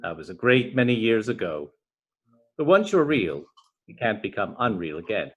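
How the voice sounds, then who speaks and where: Man reading as a gentle, slow old toy horse. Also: fairly low pitch, big pitch swings, husky, muffled recording, voice pushed down. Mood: gentle, patient. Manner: slow, deliberate, soft delivery. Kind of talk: storybook reading.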